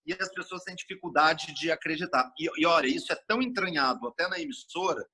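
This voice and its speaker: A middle-aged man from Brasília talks through his career as an interview guest, sweeping and lively, slipping into other people's voices as he tells stories. His voice is bright and forward, with a slightly husky edge, and sits a touch high for a man. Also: fast talker. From Brasília, Brazil. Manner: expansive, animated storyteller, acts out quoted speech. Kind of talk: casual interview talk.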